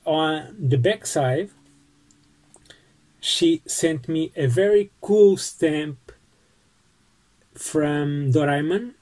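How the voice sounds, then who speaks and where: A man in his forties with a Portuguese accent, casually showing his postcards at home and talking through each one with small pauses. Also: on the high side, a little mellow, poor audio. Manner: unhurried, friendly, pauses with fillers while showing items. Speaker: postcard collecting vlogger. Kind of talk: casual show-and-tell vlog. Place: small home room lined with bookshelves, indoors.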